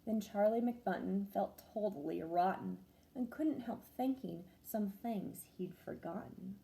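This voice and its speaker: A young woman, a first grade teacher, reading a rhyming picture book aloud with expressive, sing-song storytelling. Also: medium pitch, a little slow, gravelly, poor audio.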